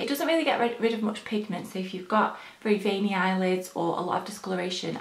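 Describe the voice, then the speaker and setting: A young woman with a slightly hoarse morning voice, chatting casually through her makeup routine as a beauty vlogger. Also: medium pitch, echoey room. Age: young adult. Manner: chatty, rambling, frequent ums. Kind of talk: casual chat while applying makeup.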